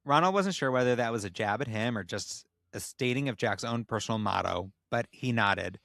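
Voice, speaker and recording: A man's voice: mid-range voice, a little fast, gravelly, a bit bright, no echo, clean studio sound. A man reading a story aloud as a podcast host, playful and dramatic, breaking off into casual asides.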